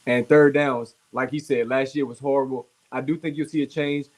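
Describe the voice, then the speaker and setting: A man co-hosting a casual sports talk show, giving measured analysis and moderating. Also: medium pitch, steady tone. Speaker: podcast co-host.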